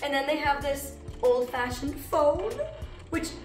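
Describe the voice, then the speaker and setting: A woman's voice: sits high, measured pace, reverberant, soft background music. Nasal bubbly young woman vlogger. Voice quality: nasal from recent illness. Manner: bubbly, gushing, lots of "like". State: getting over a cold. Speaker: vlogger giving a house tour. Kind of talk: casual chatty house tour. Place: bright home interior, indoors.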